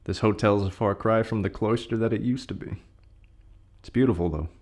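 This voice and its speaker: A man's voice: fairly low pitch, brisk, darkish tone, close to an everyday voice. A man acting a flirtatious, teasing academic colleague in an audio play, with casual, joking banter.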